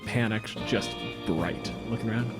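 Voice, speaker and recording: A man's voice: lowish voice, moderate pace. A man narrating a fantasy tabletop game as improvised dramatic storytelling, in clipped, suspenseful phrases. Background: music with guitar.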